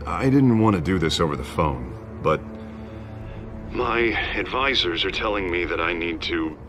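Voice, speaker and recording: An actor playing a smooth but nervous politician in a video game, hesitant and persuasive. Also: male voice, sits low, pushed and bright, full character voice. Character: smooth, nervous male politician running for office. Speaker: election candidate. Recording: music behind the voice.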